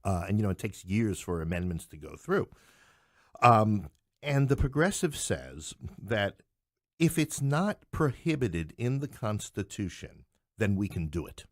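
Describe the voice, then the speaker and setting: Middle-aged male podcast guest, lower register, dry recording, explains things in stop-start, rephrased phrases. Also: big pitch swings, no echo. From New York City. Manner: halting, explanatory, repeats and rephrases himself. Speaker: podcast guest, playwright and English professor. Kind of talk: conversational political discussion.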